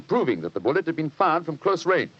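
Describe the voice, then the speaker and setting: An actor playing a confident, analytical English gentleman detective, precise and assured, in 1940s radio mystery style. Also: a little high, very animated, fairly quick, husky. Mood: insistent. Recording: muffled old 1940s radio broadcast.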